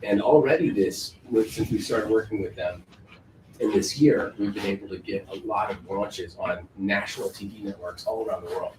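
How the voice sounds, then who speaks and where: A man as an executive guest in a casual interview, explaining in a measured, businesslike way with a loud voice. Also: lowish voice. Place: on a film set, outdoors. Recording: echoey, distant mic with clear background noise.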